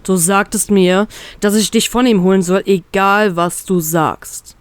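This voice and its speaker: A brooding male captain, played by a female reader who speaks with cold, firm control. His lines come slow and steady. The recording is dry and close. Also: medium pitch, smooth, no room sound, natural voice.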